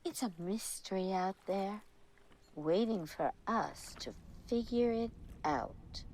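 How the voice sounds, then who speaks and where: An actress playing an eerie, enigmatic female guide in a radio drama, musing dreamily with drawn-out, lilting words. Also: mid-range voice, animated, a little mellow, slight background noise. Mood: dreamy, eerie. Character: eerie, dreamy, enigmatic woman guiding through a foggy swamp. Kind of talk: radio theatre acting.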